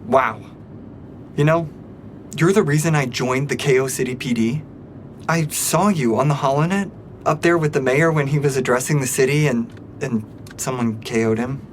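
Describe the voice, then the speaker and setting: An earnest young-sounding rookie cop played by a man full of hero worship in an audio drama. The voice is mid-pitched, with faint ambience behind it. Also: normal speed, slight background noise, lightly acted. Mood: admiring. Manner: earnest, awestruck. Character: eager, admiring rookie police officer. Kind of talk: scripted audio drama acting.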